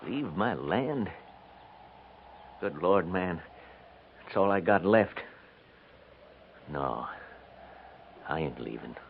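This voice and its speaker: A noisy, tinny 1950s radio western. a homesteader, a man with a very low voice and rustic, folksy speech. He is weary, stubborn and short with words. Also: animated, drawn-out words, gravelly, long pauses, exaggerated voice. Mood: stubborn, resigned.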